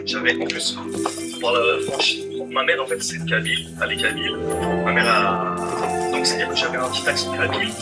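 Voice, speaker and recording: A man's voice: mid-range voice, a little fast. A man giving a motivational talk about taking action, hesitating and repeating his words with many fillers. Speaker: entrepreneur giving advice. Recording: distant video playback with loud music. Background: loud music.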